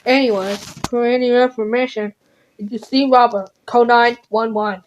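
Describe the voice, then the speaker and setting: A woman reading out an improvised mock emergency alert in a halting, deliberate way, with stumbles. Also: a little high, steady tone, muffled recording, a little hiss or hum.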